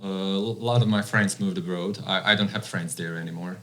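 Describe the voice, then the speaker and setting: Podcast guest, male, 30s, from Bosnia, non-native English, very low voice, hesitant, lots of restarts. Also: steady tone, fairly bright tone. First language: Croatian. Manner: halting, many fillers and restarts. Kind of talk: casual interview chat.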